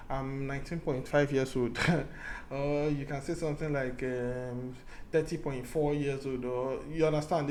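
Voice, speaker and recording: A man teaches a programming lesson in a loose, talk-it-through way, stumbling over restarts and saying his main points twice. His voice sits a little high for a man. A faint hum of background noise runs under the recording. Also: a little mellow. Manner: thinks aloud with many restarts, repeats key points. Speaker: programming tutor. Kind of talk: casual screen-recorded coding lesson.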